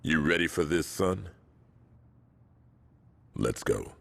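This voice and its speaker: Deep, gravelly gang boss. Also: male voice, expressive, slow, fairly bright tone, a little hiss or hum, full character voice. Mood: cool, scheming. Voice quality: deep gravelly husky rasp. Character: hardened gang boss, gruff, proud and vengeful. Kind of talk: video game cutscene acting.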